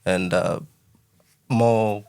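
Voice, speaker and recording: A man talking as a guest in a casual podcast chat, measured and searching for his words. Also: on the low side, steady tone, gravelly, darkish tone, clear, resonant tone.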